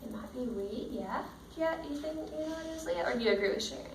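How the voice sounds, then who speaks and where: A woman's voice: high voice, expressive, quick pace. Distant, echoey woman reading to children. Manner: checks understanding with simple questions. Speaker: teacher reading a picture book to children. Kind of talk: read-aloud with questions to young pupils. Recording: roomy, distant mic, faint background noise.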